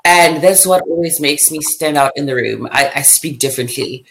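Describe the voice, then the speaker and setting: A woman's voice: sits low, vocal fry, low-quality recording. Young South African woman chatting. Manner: lively, laughs often, quick bursts and restarts. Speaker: guest. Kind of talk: casual chat.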